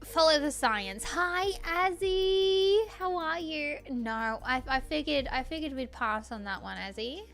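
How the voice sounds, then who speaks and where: A woman livestreaming a game, switching between reading the text aloud and reacting off the cuff in an animated way. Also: high voice, unhurried. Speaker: game streamer. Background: background music.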